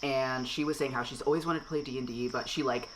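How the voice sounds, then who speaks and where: A woman's voice: a bass voice, steady tone, quick pace, a little hiss or hum, poor audio. A woman hosting a casual podcast chat, talking reflectively with many fillers.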